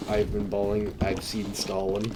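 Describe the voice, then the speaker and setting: A teenage boy co-hosting a casual chat, hesitant with dry deadpan quips. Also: on the low side, normal speed. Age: teens. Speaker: co-host. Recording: noisy background.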